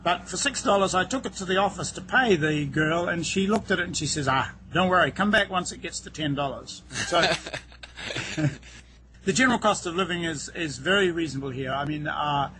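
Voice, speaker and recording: A man's voice: fairly high pitch, a little fast. A middle-aged New Zealand man with a slightly raised voice talks easily from an outdoor dock. He laughs readily and stumbles over the first words of his sentences. A faint hum of outdoor ambience sits under his voice. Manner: chatty, laughs easily, repeats words as he starts. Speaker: guest, real estate agent. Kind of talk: phone interview, relaxed explaining. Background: boats passing.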